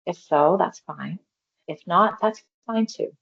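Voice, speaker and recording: Low-voiced woman guiding meditation, gravelly creak. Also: muffled recording. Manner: gentle, unhurried, reassuring, with pauses between short phrases. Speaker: mindfulness exercise guide. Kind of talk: guided meditation, body scan instruction.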